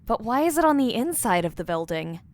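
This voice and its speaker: A woman's voice: a little high, sing-song, a little fast, darkish tone, no echo. A woman playing a grieving but determined survivor heroine in an audio horror drama. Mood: muttering, puzzled. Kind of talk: dramatized fan fiction audio acting.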